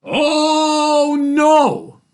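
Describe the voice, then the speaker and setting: A woman storyteller voicing an exasperated father who scolds in loud, emphatic, drawn-out words. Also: low voice, steady tone, husky. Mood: dismayed scolding.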